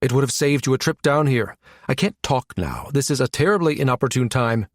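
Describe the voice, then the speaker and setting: A man's voice: medium pitch, lively intonation, fairly quick, no room sound, clean studio sound, natural voice. A man voicing an irritable, pedantic male scientist with a fussy, indignant manner in an audiobook. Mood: curt, disgruntled.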